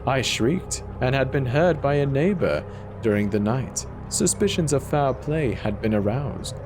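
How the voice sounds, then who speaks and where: A man voicing a guilt-racked, paranoid murderer in a theatrical, deliberate dramatic horror reading with drawn-out pauses. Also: mid-range voice, expressive, music in the background, natural voice. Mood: smug, confident. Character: guilt-racked madman murderer confessing in growing paranoid agitation. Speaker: storyteller.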